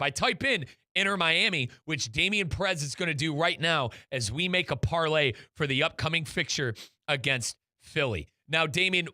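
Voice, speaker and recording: A man's voice: on the high side, sharp tone, no room sound, clean studio sound. An American man hosting a sports talk show, chatty and emphatic, speaking straight to listeners. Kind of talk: conversational sports talk with live sponsor reads.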